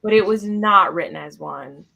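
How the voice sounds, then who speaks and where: A woman chatting casually about books as a panelist, animated and informal, acting out her own reactions. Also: mid-range voice, normal speed, creaky, dull audio. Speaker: book club panelist.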